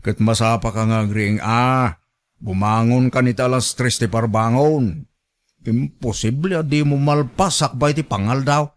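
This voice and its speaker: A man's voice: mid-range voice, a little slow, close to an everyday voice. A man acting a bossy, scolding Ilocano husband. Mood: insistent, ordering. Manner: domineering, nagging. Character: bossy, scolding husband who orders his wife around. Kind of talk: Ilocano radio drama acting.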